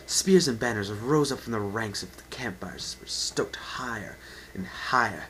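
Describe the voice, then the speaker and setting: A man with a fairly low voice narrates a story as a dramatic reading. His delivery is theatrical and emphatic, unpolished and unedited. It is an amateur home recording with faint background noise. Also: animated, normal speed, a bit bright, poor audio.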